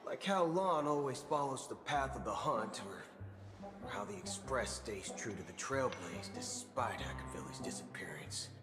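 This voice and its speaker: An actor voicing a brash, cynical, scheming cowboy ranger in a video game, with loud music under him. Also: male voice, expressive, measured pace, close to an everyday voice. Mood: cynical, musing. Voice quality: low-pitched. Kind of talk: video game dialogue acting.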